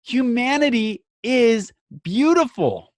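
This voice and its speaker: A man hosting a podcast, speaking in an earnest, emphatic inspirational monologue broken into short phrases with dramatic pauses. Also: extremely high pitch, expressive, no room sound, clean studio sound.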